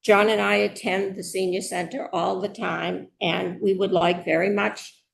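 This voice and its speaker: An older woman from Massachusetts with heavy vocal fry and an unhurried pace. She gives a deliberate personal introduction in a meeting room, with a faint rumble of noise behind her. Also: level tone. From Belmont, Massachusetts, US. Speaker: retired teacher, committee applicant. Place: indoor public meeting room.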